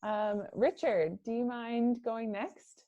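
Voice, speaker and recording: A woman's voice: on the high side, fairly even intonation, takes it slowly, mellow, no echo, highs cut off. Dry-sounding woman moderating a panel. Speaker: host, career center manager. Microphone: video call.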